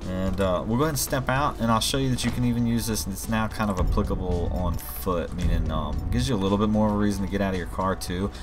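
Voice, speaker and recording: A man's voice: on the low side, fast, hardly any pauses. A man giving a casual, rambling gameplay walkthrough as he demonstrates his own game mod. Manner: informal, rambling explanations. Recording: game music and vehicle sirens behind voice. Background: game music, vehicles, police sirens.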